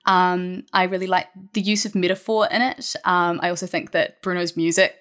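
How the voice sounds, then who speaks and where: A woman's voice: lowish voice, fairly even intonation, normal speed, a bit bright, clean tone. A woman chatting informally and with enthusiasm as a writer being interviewed. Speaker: writer and lyricist interviewed as a guest. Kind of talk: casual interview chat.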